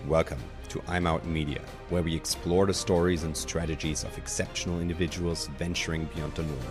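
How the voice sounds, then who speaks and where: A man's voice: sits low, little pitch movement, brisk. A man reading a scripted show introduction in a polished announcing manner. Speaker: show host. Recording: clear music bed behind the voice. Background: music.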